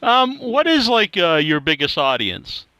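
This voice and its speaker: A man hosting a casual interview, asking informal questions in a halting way. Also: high voice, big pitch swings, pushed and bright, no room sound. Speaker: show host, interviewer. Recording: clear background noise, clicks.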